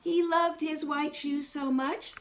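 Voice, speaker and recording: A woman reading a picture book aloud to young children in an animated way, putting questions to her listeners and exclaiming along with the story. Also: sits high, takes it slowly.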